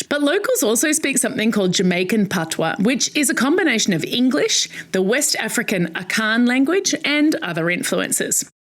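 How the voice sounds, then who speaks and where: A middle-aged woman with an Australian accent, hosting a scripted educational narration for kids in a bright, playful manner. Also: a little high. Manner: bright, playful, animated storyteller. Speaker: host.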